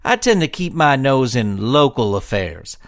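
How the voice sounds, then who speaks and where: An actor playing a steady, dutiful fish lawman in a Western audio drama, measured and folksy with an American Old West flavour. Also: medium pitch. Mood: guarded, hesitant.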